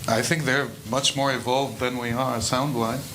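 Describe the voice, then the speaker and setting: Male panelist, mid-pitched voice, thoughtful and musing, audible hall noise behind. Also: level tone, normal speed. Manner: reflective, frequent "you know". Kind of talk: roundtable discussion. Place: hall with audience.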